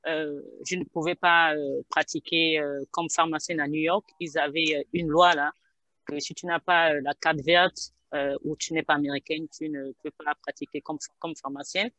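Bright-voiced woman from gabon on a headset call, lots of euhs, dry close sound. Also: low-pitched, fairly even intonation, normal speed, no room sound. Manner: hesitant, many filler sounds and repeats. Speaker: guest pharmacist. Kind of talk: interview answers. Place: home office with bookshelves. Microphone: headset on video call.

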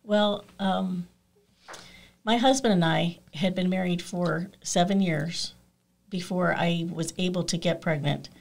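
A woman in her forties, a podcast guest in a studio, telling her story in a soft, hesitant way with many fillers. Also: fairly low pitch, steady tone, measured pace, vocal fry. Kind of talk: personal story in interview. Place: small studio. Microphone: studio mic with headphones.

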